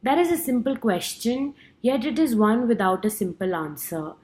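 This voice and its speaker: A woman's voice: on the high side, sing-song, moderate pace, a little mellow. A young woman from India with an Indian accent, earnestly reading her class speech with small stumbles. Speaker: student.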